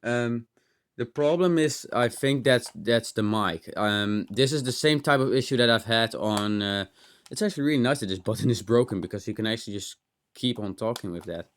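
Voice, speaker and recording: Guy doing a casual tech teardown, medium pitch, kinda thinking out loud, rambly, bit sweary. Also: moderate pace. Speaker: host of a tech teardown channel. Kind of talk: casual hands-on gadget demo, unscripted.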